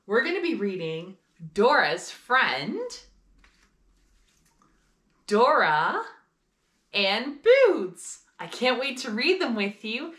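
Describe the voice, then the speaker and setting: A woman's voice: on the high side, big pitch swings, slow pace, pauses often, a bit bright. A woman hosting a children's story time, speaking directly and encouragingly to young listeners as she introduces picture books. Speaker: children's story reader, host. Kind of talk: read-aloud for young children.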